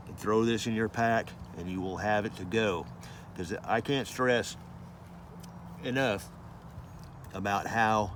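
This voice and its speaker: A man's voice: fairly low pitch, a little hiss or hum. A man giving a casual hands-on product review, explaining things informally and loosely with some repetition.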